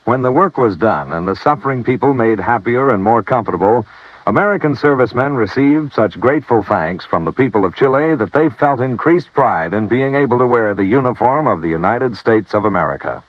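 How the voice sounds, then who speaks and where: A man reading scripted announcements in a measured, solemn, formal 1950s radio announcing style. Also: mid-range voice, expressive, no echo. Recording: dry, muffled vintage radio recording.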